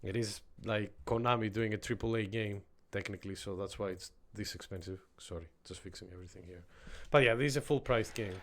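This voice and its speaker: A man's voice: fairly low pitch, fairly quick, hardly any pauses, a bit bright. A young man from Greece streaming a horror game, chatting casually with viewers and reacting as he plays. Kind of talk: casual gameplay commentary.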